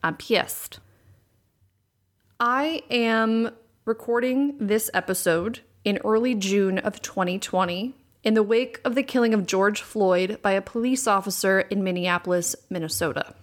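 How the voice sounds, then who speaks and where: Raspy woman with heavy vocal fry. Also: medium pitch, measured pace. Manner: candid, emphatic, repeats for stress. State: voice worn from repeated recording. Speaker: podcast host. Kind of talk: casual solo podcast talk. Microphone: USB condenser microphone.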